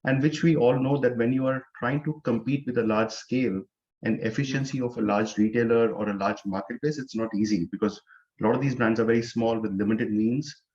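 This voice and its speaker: A man, a startup co-founder answering interview questions in a measured way, often saying "you know". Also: medium pitch, a little mellow, lo-fi sound. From India.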